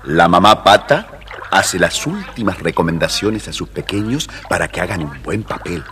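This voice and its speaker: A man narrating a scripted children's story in an expressive, measured way. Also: deep voice, a little hiss or hum.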